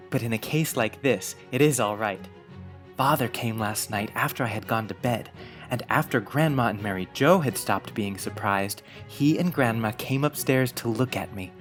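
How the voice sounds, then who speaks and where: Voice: mid-range voice, close to an everyday voice. A performer playing an earnest, affectionate little boy with precise, old-fashioned politeness in a dramatized audiobook. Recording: music under the voice.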